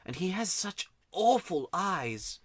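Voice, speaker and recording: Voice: sing-song, slow pace, dry recording. A storyteller voicing an upset young schoolboy who talks in a raised, pleading voice. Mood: eager. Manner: pleading, worked up, raised pitch. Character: grubby, miserable schoolboy, tearful and excitable. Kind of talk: audiobook character voice.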